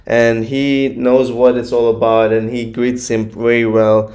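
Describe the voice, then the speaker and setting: A man's voice: medium pitch, little pitch movement, a little slow, a little mellow. A man whose first language is Gujarati, hosting a casual reaction video and chatting through his commentary with plenty of fillers. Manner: chatty, nitpicking, many fillers. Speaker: YouTube reaction host.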